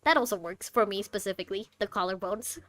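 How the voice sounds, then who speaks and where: A woman's voice: mid-range voice, fast, fairly bright tone, no room sound. A woman giving casual, teasing commentary on a game playthrough and sometimes reading the on-screen text aloud. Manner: playful, teasing. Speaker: streamer playing a game blind. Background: game music.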